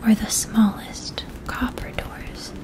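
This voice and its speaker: Whispering, slow-paced ASMR woman. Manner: gentle, unhurried, soothing, careful enunciation. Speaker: ASMR roleplay performer. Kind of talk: whispered ASMR, second-person fantasy story with choices.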